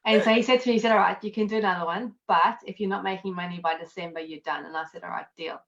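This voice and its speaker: Mujer sudafricana de habla rápida, audio apagado de videollamada. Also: female voice, mid-range voice, steady tone, rapid-fire, gravelly, a bit bright, highs cut off. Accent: South African. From South Africa. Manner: chatty, self-deprecating, laughs easily. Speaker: guest, accountant and network marketer. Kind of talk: casual interview chat.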